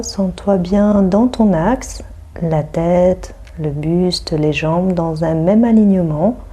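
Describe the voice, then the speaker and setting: A woman guiding a yoga nidra meditation in a soothing, hushed manner, leaving long pauses between phrases. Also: on the low side, creaky, husky. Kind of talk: guided meditation.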